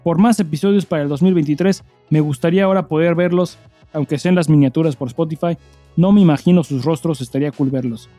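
A Mexican man with a fairly high, mellow voice co-hosts a casual podcast. He talks in a slangy, crude, joking way, recorded fairly dry with music underneath. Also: fast talker, dry recording. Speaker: podcast co-host. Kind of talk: casual chat and listener mail reading.

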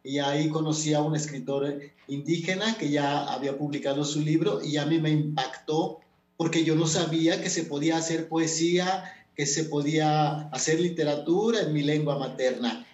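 A man's voice: fairly high pitch, fairly even intonation, normal speed, a little mellow. A man from Mexico whose first language is Totonac, a poet speaking earnestly and hesitantly as a guest in a remote interview. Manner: earnest, hesitant, many fillers. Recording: echoey lo-fi remote audio.

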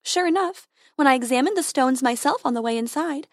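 A woman voicing a brave, brisk female tooth fairy dentist with a confident, bright manner. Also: high-pitched, animated, no room sound, clean studio sound, pitched above the performer's own voice.

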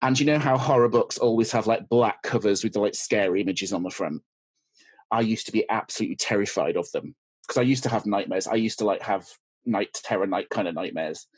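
A man's voice: lowish voice, a little fast, slightly rough, no room sound. A man with an English accent chatting casually as a podcast guest, hesitant and often restarting his thoughts. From England. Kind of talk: casual interview chat.

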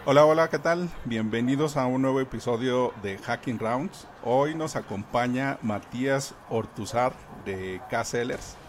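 A man's voice: mid-range voice, unhurried, few pauses. A man hosting a podcast interview, asking loose, friendly questions full of fillers. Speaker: podcast host.

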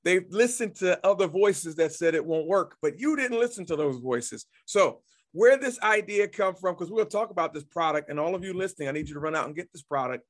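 A male podcast host with a somewhat high voice keeps cheering on his guest. He affirms often and guides the chat with loose, friendly questions. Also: expressive, fairly quick. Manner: encouraging, frequent affirmations. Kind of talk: casual interview.

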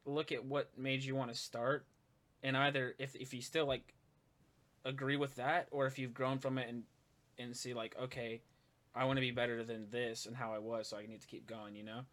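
A man's voice: mid-range voice, fairly bright tone. A man hosting a casual interview for his channel, chatty and supportive, with lots of fillers. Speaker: interviewer, YouTube channel host.

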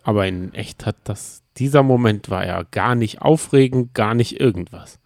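A man's voice: fairly low pitch, moderate pace, vocal fry, a little mellow, no echo, studio quality. A man, chatting casually as a podcast co-host about reality TV.

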